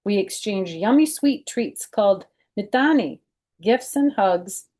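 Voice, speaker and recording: A woman's voice: mid-range voice, animated, measured pace, mellow. A woman hosting a children's storytime, reading a picture book aloud expressively and adding chatty, informal explanations.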